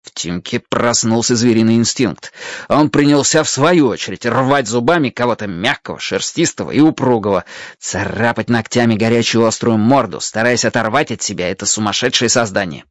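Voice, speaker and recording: A man's voice: mid-range voice, normal speed, no room sound, studio quality. A man narrating a children's adventure story expressively from a script, as a storyteller.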